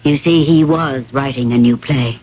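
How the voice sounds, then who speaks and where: A woman playing a proud, worldly older stage actress with a low, husky voice and poised, theatrical delivery, in old-time radio drama. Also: moderate pace. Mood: reflective, confessing. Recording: dull, muffled vintage radio recording.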